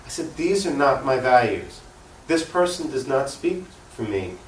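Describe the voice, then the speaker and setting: An American man comes through a roomy, slightly distant mic with faint noise behind him. He has a medium-pitched voice and speaks in an earnest, emphatic way, like an activist answering questions in an unscripted interview. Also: big pitch swings, measured pace. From United States. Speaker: interview guest, political activist. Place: echoey room, cable TV interview.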